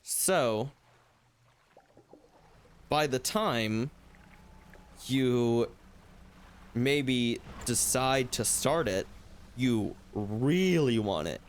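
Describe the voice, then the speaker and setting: A man's voice: medium pitch, expressive, very slow, long pauses, slight background noise. A young man hosting a solo talk show, rambling loosely and reflectively, his voice slightly worn from hours of talking. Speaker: podcast host.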